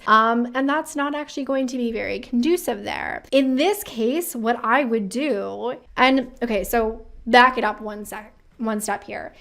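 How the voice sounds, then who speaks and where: A woman giving casual, opinionated commentary as a career advice host, talking in an animated, emphatic way with rhetorical questions. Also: fairly high pitch, gravelly.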